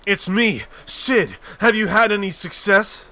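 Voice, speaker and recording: Dude reading as an earnest middle-aged boss guy, slow and steady, faint game music behind. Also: high voice, heavily acted.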